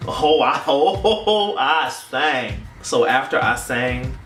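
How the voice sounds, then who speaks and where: A man's voice: mid-range voice, extremely slow. Echoey, casual young American man storytelling. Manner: animated storytelling, acting out quoted dialogue. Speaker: vlogger. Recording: roomy echo, distant mic, faint music.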